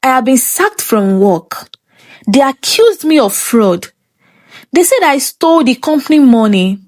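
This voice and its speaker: An earnest, wounded younger sister in a folktale, played by a woman narrator with a Nigerian accent. She pleads plaintively in a fairly high, slow voice that rises and falls a lot. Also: sing-song, darkish tone. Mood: distraught.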